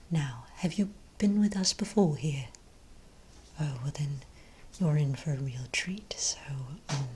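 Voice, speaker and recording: A woman speaking in a soft, breathy near-whisper, playing a friendly magic shop owner in a gentle, rambling ASMR role play. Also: deep voice.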